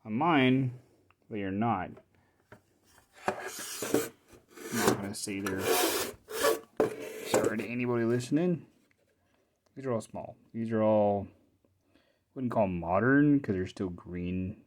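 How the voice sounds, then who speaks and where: A man giving a casual hands-on show-and-tell as a hobbyist repairer, thinking aloud in short, halting, informal phrases. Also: on the low side, a little hiss or hum. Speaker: hobbyist repairer presenting his own video.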